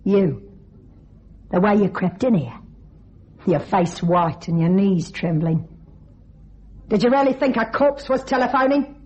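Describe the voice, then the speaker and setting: A woman's voice: mid-range voice, a little mellow, natural voice. A woman playing a cold, scheming young widow in a radio thriller, mocking and coolly commanding. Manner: taunting, coolly controlled, deliberate. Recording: dull, band-limited radio drama recording.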